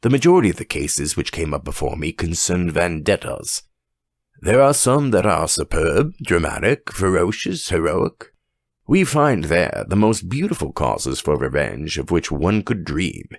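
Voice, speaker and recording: A man voicing a dignified, rational judge telling a story in a grave, measured and formal manner for an audiobook. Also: low voice, very animated, no echo, clean studio sound, full character voice. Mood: grave, emphatic. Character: dignified, weighty, rational male French judge.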